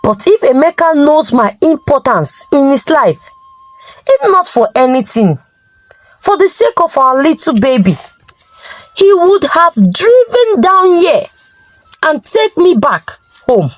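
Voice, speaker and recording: A woman's voice: high-pitched, sing-song, slow, full character voice, rough recording. A woman with a Nigerian accent voicing a proud, stubborn young wife in a folktale, defiant and insistent.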